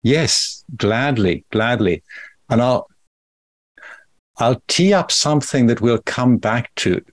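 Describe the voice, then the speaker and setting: A middle-aged man from South Africa, a podcast guest who explains his path in a measured, thoughtful way. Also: medium pitch, expressive, dry recording. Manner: measured, thoughtful, careful phrasing. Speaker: guest, company founder. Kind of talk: podcast interview.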